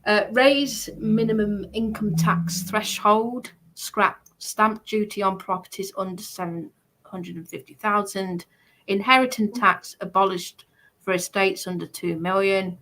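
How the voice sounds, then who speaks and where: Bright-voiced British woman reading out points. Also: medium pitch, measured pace, slight background noise, rough recording. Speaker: presenter going through party policies. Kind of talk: casual talk, reading aloud from notes.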